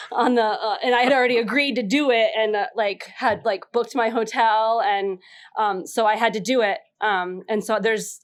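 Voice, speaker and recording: Slow-talking woman with a high voice. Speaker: panel game contestant telling a story. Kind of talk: casual improvised storytelling.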